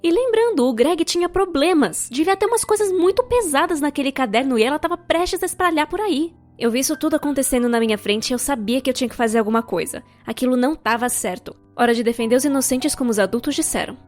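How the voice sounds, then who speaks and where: High-pitched Brazilian woman narrating a story. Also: fast talker, few pauses, soft background music. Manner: expressive, theatrical, sarcastic. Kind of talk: scripted animated storytime narration, fan dub.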